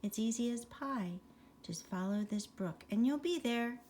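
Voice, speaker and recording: A woman's voice: a little high, lively intonation, measured pace, a little mellow, slight background noise. Woman as a breezy young otter. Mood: breezy, reassuring.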